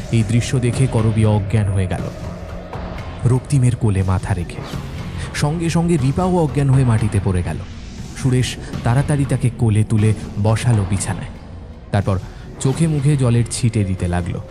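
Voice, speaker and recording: A man narrating a scripted horror story in a storyteller's delivery. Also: fairly low pitch. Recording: music under the voice.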